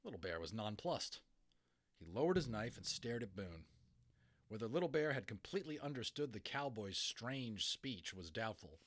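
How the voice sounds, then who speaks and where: A man reading a storybook aloud as a dramatic, expressive narrator. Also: fairly low pitch. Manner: expressive, theatrical. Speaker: audiobook narrator.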